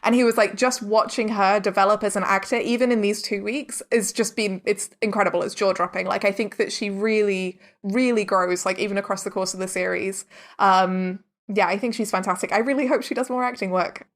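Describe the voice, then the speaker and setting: A woman's voice: mid-range voice, steady tone, a little fast. Effusive woman chatting in an interview. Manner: effusive, earnest, peppers speech with "like". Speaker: podcast guest, audio drama director and producer. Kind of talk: casual interview chat.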